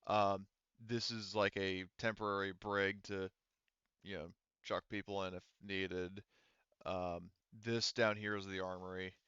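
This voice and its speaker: A man with a lowish, forceful and clear voice runs a tabletop game. He explains the scene casually, hesitating as he searches for words, on a close but slightly dull recording. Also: flat delivery, measured pace, dry recording. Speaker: game master.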